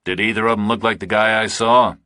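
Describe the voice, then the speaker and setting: A man's voice: on the low side, steady tone, brisk, bright, forceful tone, dry recording. A man playing a tough ex-military investigator, clipped, dry and determined, in a thriller audiobook. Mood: guarded.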